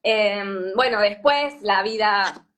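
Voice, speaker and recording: A woman in her thirties with an Argentine Spanish accent, from Patagonia, telling her story as a guest in an interview, thoughtful and pausing often with fillers. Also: medium pitch, a bit bright. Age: 30s. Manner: thoughtful, many fillers and restarts. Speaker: yoga teacher, guest. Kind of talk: reflective interview talk.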